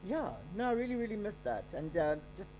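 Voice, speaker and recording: A woman's voice: medium pitch, big pitch swings, fairly quick, mellow, slight background noise, rough recording. A woman in her forties, a musician guest, chatting in a rambling, reminiscing way. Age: 40s. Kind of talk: casual chat.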